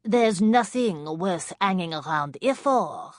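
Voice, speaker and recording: A woman voicing a glamorous, scornful French woman in her late fifties, drawling slowly with a thick French accent. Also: lowish voice, lively intonation, dry recording, studio quality, pitched above the performer's own voice. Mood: haughty. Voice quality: slow, drawling, contemptuous.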